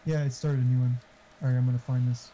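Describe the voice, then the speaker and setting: A man with a very dark, soft-edged voice at a medium pitch chats on a gaming call. He explains technical details casually and swears, over faint background noise. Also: level tone, fast, low-quality recording. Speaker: game modder on voice chat.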